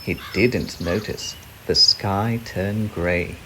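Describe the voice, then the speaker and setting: A man narrating a children's story in an expressive, gentle, deliberate way. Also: sits low, slight background noise. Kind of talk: children's read-aloud narration. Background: crickets, animal and nature sound effects.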